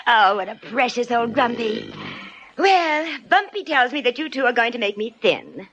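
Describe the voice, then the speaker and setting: An actress playing a sugary, affectionate, coquettish former dancer in a theatrical old-time radio style. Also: female voice, on the high side, expressive, normal speed, a bit bright, highs cut off. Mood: gushing, flirty. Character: gushing, coquettish, plump former dancer, female.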